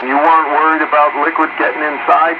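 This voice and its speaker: A man in a casual radio chat with a hesitant, halting delivery. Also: on the high side, flat delivery. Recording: noisy, muffled radio transmission.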